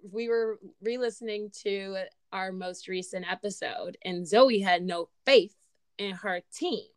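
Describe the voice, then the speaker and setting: Woman in her twenties, slightly raspy. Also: medium pitch, measured pace, no echo. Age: 20s. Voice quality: animated, laughs often. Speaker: podcast co-host. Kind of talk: casual chat.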